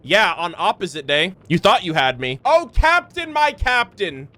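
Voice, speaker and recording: A young man livestreaming casual, excitable reaction commentary, slangy and sweary, bantering mock-combatively with his chat over music. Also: sits high, unhurried, no room sound. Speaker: livestreamer reacting to game voice lines.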